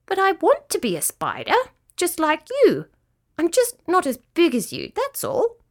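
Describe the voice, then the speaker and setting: A woman plays a tiny young boy cricket in a storybook, earnest and easily discouraged. The little voice sits very high and swings up and down with wishful, plaintive eagerness. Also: wildly swooping pitch, a little slow, heavily acted. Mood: pleading. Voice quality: little, childlike voice. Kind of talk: children's storybook character voice.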